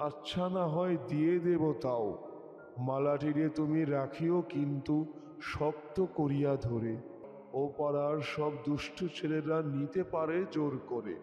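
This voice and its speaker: Male poetry reciter, expressive verse delivery, mellow tone, long echoing reverb, music behind. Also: on the high side. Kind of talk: expressive Bengali poem recitation. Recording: dull, echoey with long reverb.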